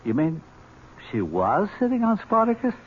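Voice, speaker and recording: An actor playing a wry, unflappable, teasing uncle in a 1970s radio drama, with a hesitant, mock-innocent manner. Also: male voice, high voice, huge pitch swings, normal speed. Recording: tinny, noisy old radio recording.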